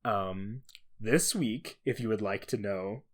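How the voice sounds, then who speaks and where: A man's voice: fairly low pitch, takes it slowly. Casually rambling male podcast co-host. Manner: rambling, self-deprecating asides; chuckles at own remarks. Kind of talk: casual solo podcast talk explaining a researched topic.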